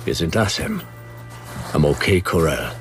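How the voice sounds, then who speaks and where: An actor playing a tough, skeptical private detective in a radio play, with a dry manner. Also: male voice, a bass voice, wildly swooping pitch, a little slow, soft background music, exaggerated voice.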